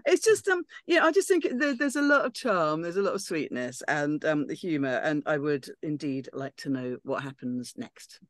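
A woman's voice: low-pitched, big pitch swings, moderate pace, few pauses, dry recording. Thoughtful woman, dry close sound. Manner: thoughtful, hesitant, lots of um and you know. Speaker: script reading panelist. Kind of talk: casual video-call discussion. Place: home room. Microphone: video call.

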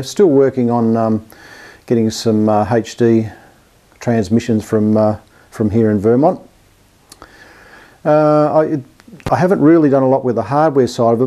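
A middle-aged Australian man speaks with a dark, relaxed tone at an ordinary male pitch. He talks casually and without a script, like a hobbyist giving an update, often hesitating and rambling in a modest, self-deprecating manner. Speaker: amateur radio and television hobbyist presenting an update. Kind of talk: casual, unscripted video update to camera.

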